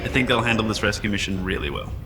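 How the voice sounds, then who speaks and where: A man's voice: on the low side, rapid-fire, pushed and bright, a little hiss or hum. An actor playing a wry, modest man revived from cryostasis, speaking in a reflective, conversational way in a sci-fi audio drama. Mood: easygoing, wry. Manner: self-deprecating, reflective, conversational. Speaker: interviewee at a press appearance. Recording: long hall reverb, music behind.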